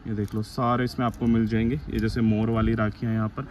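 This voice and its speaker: A man's voice: on the low side, little pitch movement, quick pace. A man hosting a casual shop tour, eagerly prompting and addressing viewers with repeated interjections. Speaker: vlog host touring a wholesale shop. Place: busy open-fronted market shop. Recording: clear street and market background noise.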